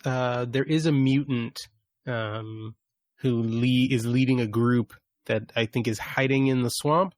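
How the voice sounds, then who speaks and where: Guy on a podcast explaining stuff casually, careful with his points, dry sound, regular pitch. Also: steady tone, unhurried, no room sound. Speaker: podcast host. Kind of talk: casual discussion.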